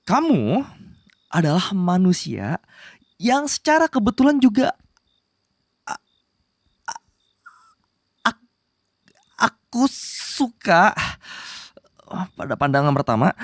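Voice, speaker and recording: A woman's voice: fairly high pitch, dry recording, clean studio sound, exaggerated voice. A woman playing a possessive, lovestruck young male demon prince, bossy yet flustered, in a romantic roleplay spoken to the listener. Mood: earnest, coaxing. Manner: insistent and flustered, pleading between commands. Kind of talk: romantic ASMR roleplay acting addressed to the listener.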